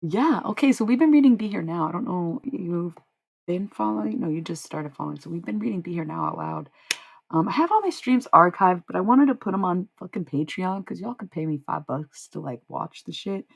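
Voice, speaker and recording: A woman's voice: lowish voice, lively intonation, fairly quick, gravelly, husky. A young woman livestreaming, chatting with viewers in a rambling, dreamy way and swearing freely. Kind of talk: casual livestream chat with viewers.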